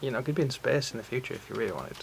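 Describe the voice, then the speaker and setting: A man's voice: mid-range voice, expressive, rapid-fire, vocal fry, low-quality recording. A man speaking as a teacher, giving informal critique and probing questions in a conversational way. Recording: faint room noise.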